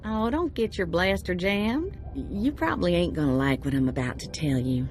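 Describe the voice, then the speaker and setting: A flirty, brash hunters' contact. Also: female voice, lowish voice, big pitch swings, normal speed. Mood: teasing. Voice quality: flirtatious and teasing. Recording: music behind.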